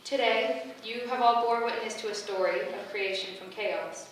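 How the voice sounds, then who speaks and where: A woman's voice: a little high, moderate pace, natural voice. Woman acting as motherly goddess, grand and solemn, stately delivery, very echoey room, distant mic. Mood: solemn, uplifting. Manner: formal, stately, ceremonial oratory. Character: benevolent motherly female goddess, grand and solemn, gathering her heralds. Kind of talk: staged play reading. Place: echoey indoor venue at a staged reading. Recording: very echoey room from far off, faint noise.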